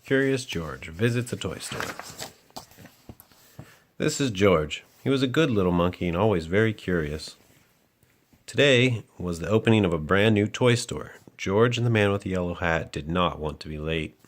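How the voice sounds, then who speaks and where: A man's voice: sits low, expressive, moderate pace. A man reading a children's picture book aloud as a storyteller and host.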